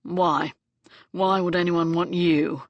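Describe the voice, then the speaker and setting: A woman narrator voicing a brooding young gentleman who speaks bluntly and coldly, with clipped sarcasm. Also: lowish voice, a little slow, creaky, no room sound, lo-fi sound.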